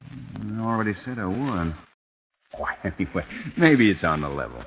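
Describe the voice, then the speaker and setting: A suspicious husband, low-voiced but with lots of lift and fall, dry and wry. The old radio sound is dull, with a light hiss. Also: male voice, very animated, normal speed, gravelly. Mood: wary. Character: wary, skeptical married man. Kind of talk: 1940s radio acting.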